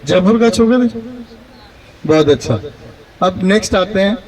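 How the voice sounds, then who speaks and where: A man hosting student introductions at a college, brisk and quick with short praise, speaking into a handheld mic. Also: high-pitched, expressive, darkish tone. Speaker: college faculty host. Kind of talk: addressing a hall of students. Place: large hall. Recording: reverberant hall.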